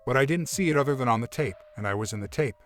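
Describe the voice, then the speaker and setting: Man calmly reading a first-person story. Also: mid-range voice, racing. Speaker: narrator. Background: faint background music.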